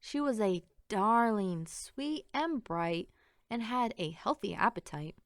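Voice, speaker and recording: A woman reads a rhyming picture book aloud for children. She takes her time, shaping each line with an expressive, sing-song lilt. Her voice sits at an average pitch in a dry sound with little room on it. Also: slow pace, dry recording. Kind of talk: read-aloud children's storytelling in rhyme.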